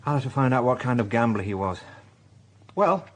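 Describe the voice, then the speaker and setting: A man's voice: medium pitch, lively intonation, fairly quick, slight background noise, natural voice. An actor playing an inquisitive, dogged male police inspector in a radio drama. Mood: brisk, thoughtful. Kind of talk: radio drama dialogue and narration.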